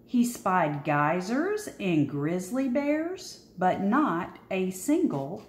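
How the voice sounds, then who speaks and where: A woman reading a children's picture book aloud expressively, with dramatic pauses and emphasis, recorded in a roomy, echoing indoor space. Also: medium pitch, big pitch swings. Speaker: storybook reader.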